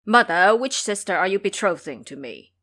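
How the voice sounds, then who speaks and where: A woman's voice: mid-range voice, big pitch swings, normal speed, sharp tone. A woman playing a foolish, eager male pig-monster in an audio drama, pleading and blustering.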